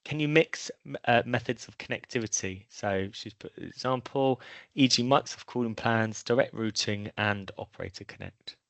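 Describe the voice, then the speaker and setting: A man's voice: medium pitch, normal speed, creaky, a bit bright, no echo. A man hosting a webinar in a semi-formal, courteous way, moderating audience questions with slightly hesitant phrasing.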